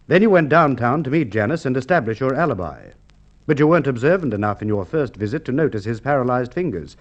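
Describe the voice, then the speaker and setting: An actor playing a shrewd, methodical police inspector in an old radio crime drama, deliberate and authoritative. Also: male voice, medium pitch, close to an everyday voice. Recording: dull, lo-fi vintage radio recording.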